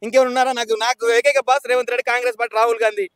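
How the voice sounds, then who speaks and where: Very fast, high-pitched young Telangana man. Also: flat delivery, fairly bright tone, no echo. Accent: Telangana Telugu. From Nalgonda district, Telangana, India. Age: young adult. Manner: insistent, challenging, repeats himself. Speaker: activist for unemployed job seekers. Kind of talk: heated argument and appeal.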